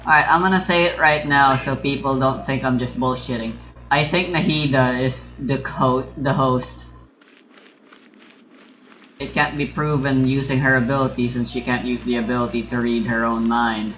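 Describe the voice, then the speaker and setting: A woman's voice: very deep voice, steady tone, moderate pace, fairly bright tone, a little hiss or hum, low-quality recording. A woman giving casual, blunt commentary as she plays a video game. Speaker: streamer playing a game. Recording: game music behind the voice.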